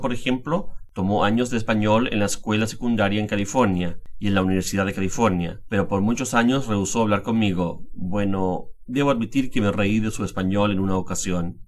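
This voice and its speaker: A middle-aged man from Chile with a Chilean Spanish accent, calmly reading a scripted language lesson. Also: fairly low pitch. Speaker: language teacher, guest speaker. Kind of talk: scripted instructional narration.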